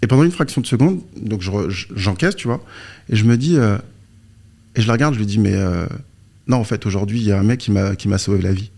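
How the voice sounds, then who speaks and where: A man's voice: fairly low pitch, fast talker, gravelly, mellow. A man from France, born in Morocco, speaking as an interview guest and coach, explaining informally through anecdotes and rhetorical questions. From born in Morocco, moved to France aged three or four. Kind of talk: conversational explanation with personal anecdotes.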